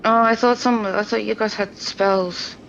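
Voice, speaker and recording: A woman's voice: mid-range voice, steady tone, measured pace, creaky, fairly bright tone, dull audio, slight background noise. A woman chatting casually as a player in a tabletop game.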